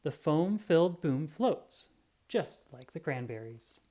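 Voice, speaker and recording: A reader reading a children's picture book aloud in an expressive storytelling manner. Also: mid-range voice, slow pace.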